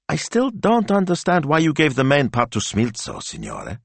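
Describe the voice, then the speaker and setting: Man putting on a pouty young woman, high-pitched. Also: huge pitch swings, normal speed, gravelly, no echo, full character voice. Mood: puzzled, worried. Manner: pouty, breezy, earnest. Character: self-absorbed, exasperated, chatty young woman on her phone.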